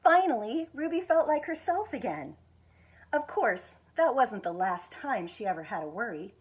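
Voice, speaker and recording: An American woman with a husky voice reads a children's picture book aloud expressively, stretching words for emphasis. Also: fairly high pitch. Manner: expressive, with drawn-out emphasis and quiet asides to show pictures. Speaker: executive director of a schools foundation, guest reader.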